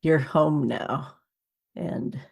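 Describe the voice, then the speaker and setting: A woman's voice: deep voice, drawn-out words, gravelly, darkish tone, husky. A woman hosting a podcast, interviewing a guest in a casual, chatty style.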